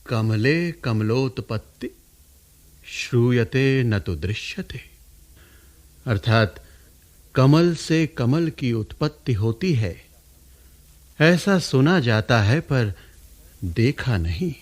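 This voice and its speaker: An actor playing an elderly, learned poet-guru travelling in disguise, speaking in a theatrical, courtly, deliberate way in a classical radio play. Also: male voice, medium pitch, lively intonation, darkish tone. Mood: intrigued. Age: older.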